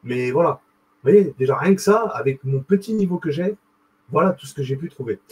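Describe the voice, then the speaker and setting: A young man with a husky voice, talking casually and excitedly to his viewers in a rambling, slangy way. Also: on the high side, brisk, rough recording. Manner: rambling, animated, slangy, addresses viewer directly. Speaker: video host. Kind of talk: casual explanatory monologue.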